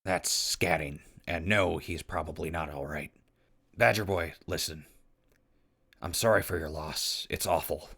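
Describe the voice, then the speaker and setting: An actor in an audio drama playing a gruff, exasperated and weary wasteland survivor with a raspy voice. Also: male voice, rumbling low, unhurried, a bit bright, full character voice.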